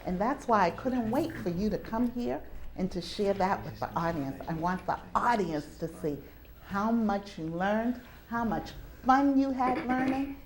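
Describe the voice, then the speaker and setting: A woman's voice: medium pitch, expressive, unhurried. Emphatic woman hosting, teacherly. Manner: teacherly, coaxing with questions and repeats. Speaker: TV show host leading students. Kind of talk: talk to children before an audience. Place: college venue, taped live with audience.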